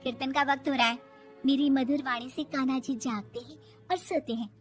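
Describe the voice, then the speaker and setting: Woman doing sassy young indian daughter-in-law, super high voice, always arguing back, cartoon story with music. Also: fairly even intonation, fairly quick, lightly acted. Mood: boastful. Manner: indignant, sarcastic back-talk. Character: brash, defensive young Indian daughter-in-law, quick to argue. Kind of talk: Hindi moral-story cartoon acting.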